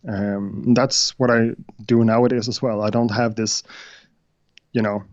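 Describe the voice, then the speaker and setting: Brief: Swedish-accented man, non-native English speaker, halting guest talk, dull dry call audio. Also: on the low side, flat delivery, dry recording. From Sweden. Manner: hesitant, many uhs and restarts. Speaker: guest beatmaker. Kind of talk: casual interview chat.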